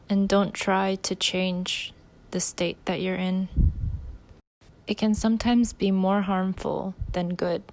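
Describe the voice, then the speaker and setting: A woman leading a guided meditation, giving gentle instructions in short phrases with pauses between them. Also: mid-range voice, gravelly.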